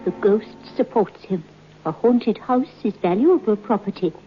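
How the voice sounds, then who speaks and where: A woman's voice: medium pitch, unhurried, faint music behind, natural voice. A woman playing a knowing, confiding storyteller in an old-time radio drama. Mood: confiding, composed. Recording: muffled, faintly noisy old radio recording.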